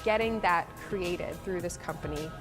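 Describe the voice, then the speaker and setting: Calm explaining woman. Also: lowish voice, normal speed, music in the background.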